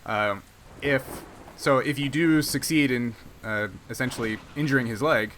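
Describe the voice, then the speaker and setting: A man acting as game master, narrating a tabletop game and talking through rules casually, pausing as he works out rulings. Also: mid-range voice, fairly bright tone. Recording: clear background noise.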